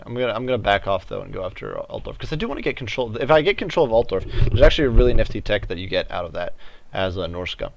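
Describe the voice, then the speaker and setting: Man, deep gravelly fry, casual gaming commentary, halting think-aloud delivery. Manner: thinks out loud, hesitant and halting. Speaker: gaming video host. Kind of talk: casual gameplay commentary on a strategy game.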